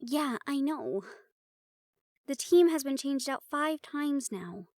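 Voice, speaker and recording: A woman's voice: sits high, lively intonation, slow pace, a little mellow, no echo, clean studio sound. A woman playing a playful, sarcastic and tired young team member in a fantasy audio drama, talking casually. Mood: matter-of-fact. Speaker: voice actor in an audio roleplay. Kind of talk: fantasy audio drama roleplay, conversational acting.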